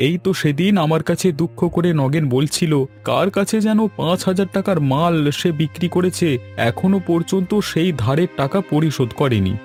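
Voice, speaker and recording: A man's voice: fairly high pitch, a little fast, darkish tone, soft background music, natural voice. A man voicing a practical, chatty village husband in a cartoon, conversational and exclamatory. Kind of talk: cartoon dialogue.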